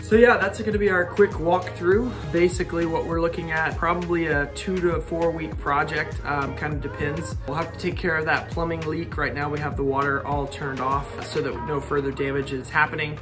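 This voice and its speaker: A man's voice: fairly high pitch, fairly even intonation, moderate pace, few pauses. A man in his thirties with an American accent, giving an informal, explanatory renovation walkthrough as a contractor and vlog host. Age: 30s. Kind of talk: casual walkthrough vlog talk. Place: handheld walkthrough in house, garage and covered patio. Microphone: phone or camera at arm's length. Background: music.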